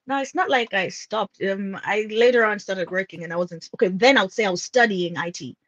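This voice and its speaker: A woman's voice: mid-range voice, fairly quick, no room sound. A young woman from Malawi, a musician guest chatting casually in an interview, with frequent laughs and hesitant fillers. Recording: dull lo-fi sound.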